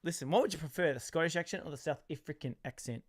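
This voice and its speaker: Australian male co-host, rowdy joker, pitched a bit high, crisp and dry. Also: quick pace, dry recording. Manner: loud, jokey, excitable, trash-talking. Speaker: podcast co-host. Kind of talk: casual reality-TV recap chat.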